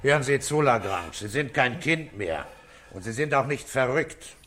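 A man's voice: medium pitch, animated, moderate pace, a bit bright, lightly acted. A man acting a stern, controlled police commissioner in a radio crime drama, speaking firmly and insistently. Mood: stern, pressing. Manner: firm, authoritative, insistent. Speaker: actor.

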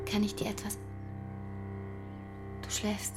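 A woman acting in a German radio drama as a weary, guilt-ridden carer, speaking with restraint and often hushed. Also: slow pace, loud music behind the voice, lightly acted. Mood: grave, hushed. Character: weary, guilt-ridden woman caring for her dying aunt. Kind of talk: German radio drama acting, dialogue and intimate inner monologue.

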